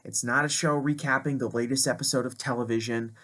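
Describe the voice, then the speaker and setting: A man's voice: mid-range voice, steady tone, quick pace, pushed and bright. A man reading a scripted podcast promo in an earnest, polished way.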